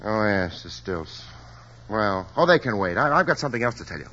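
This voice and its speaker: An actor playing a hard-up stage actor in a 1940s radio drama, talking bashfully with stammers and hesitations. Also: male voice, on the low side, lively intonation, fairly quick, bright, forceful tone. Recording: dull lo-fi old radio recording.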